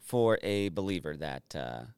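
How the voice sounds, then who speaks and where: A man's voice: sits low, sing-song, unhurried, gravelly, a little mellow, clean tone, no echo, clean studio sound. A man in his late thirties on a podcast, easygoing and inquisitive as he asks his co-host questions. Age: 30s. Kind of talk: casual conversation.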